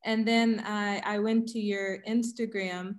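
A woman hosting a casual interview, curious and relaxed, with frequent fillers. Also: mid-range voice, a little slow. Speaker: podcast host.

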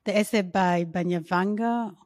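A woman who hosts the interview, asking questions in a halting way with frequent restarts and self-corrections. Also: mid-range voice, a little mellow, no room sound, studio quality. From Uganda. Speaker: interview host. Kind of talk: conversational interview.

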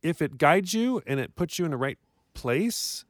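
A man's voice: a little high, sing-song, moderate pace, no echo, studio quality. Thoughtful man co-hosting a podcast. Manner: thoughtful, hesitant. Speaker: podcast co-host. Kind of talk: casual podcast chat.

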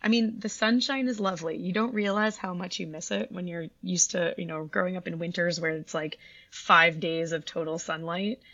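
A woman in her thirties from Canada tells her story with chatty enthusiasm, her voice a little low and gravelly at times. The sound is dry and close, with a slightly dull, lo-fi band. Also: fairly even intonation, normal speed, hardly any pauses, sharp tone, dry recording. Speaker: pediatric orthopedic surgeon. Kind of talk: interview answers.

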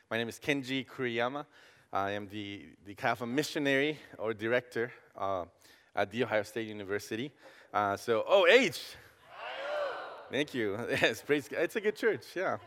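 A man's voice: medium pitch, fairly bright tone, big-room sound. Friendly Costa Rican man preaching in a church. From Costa Rica. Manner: friendly and joking, frequent hesitations. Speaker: guest campus pastor. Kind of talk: casual sermon. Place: church sanctuary.

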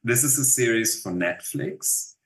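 A man's voice: mid-range voice, lively intonation, measured pace, gravelly, fairly bright tone. A man in his fifties with a German accent, an actor chatting in an interview, rambling with fillers and restarts. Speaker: actor guest. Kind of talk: casual interview. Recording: roomy echo.

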